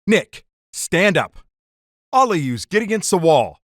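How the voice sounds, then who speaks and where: A man's voice: high voice, big pitch swings, unhurried, dry recording, studio quality, heavily acted. A man playing a tough, wisecracking gangster in a 1940s noir radio drama, with slangy hard-boiled delivery. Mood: commanding. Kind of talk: noir detective radio drama acting and narration.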